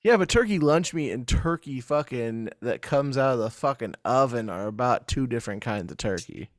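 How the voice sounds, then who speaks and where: A man's voice: medium pitch, animated, normal speed, no echo, clean studio sound. A man hosting a casual podcast, swearing freely and laughing between stories. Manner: loose, swears a lot, laughs mid-sentence. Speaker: podcast host. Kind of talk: casual banter.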